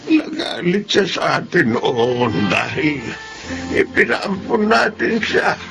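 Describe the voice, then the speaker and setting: Creaky, high-voiced Filipino grandfather. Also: sing-song, slow pace, loud music behind the voice, heavily acted. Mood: hesitant, regretful. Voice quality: creaky old voice. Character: poor elderly eldest brother, humble, sentimental grandfather. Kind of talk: Filipino radio drama acting.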